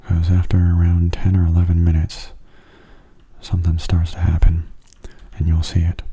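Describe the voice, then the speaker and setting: A man giving soft-spoken ASMR commentary over a game in a hushed, breathy murmur, calm and unhurried, close to the microphone. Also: deep voice. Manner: calm, unhurried, musing aloud. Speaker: gaming ASMR host.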